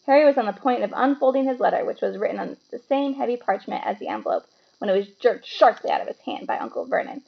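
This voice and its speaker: A woman narrating a children's novel aloud as an expressive storybook reader. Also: a little high, fast, vocal fry, muffled recording.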